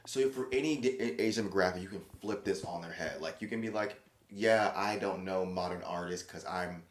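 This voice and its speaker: A man with a low voice chats on his own podcast, rambling and restarting his sentences. He slips into lively quoted voices now and then. The sound is roomy and echoey. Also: normal speed. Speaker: podcast host. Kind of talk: casual solo podcast commentary.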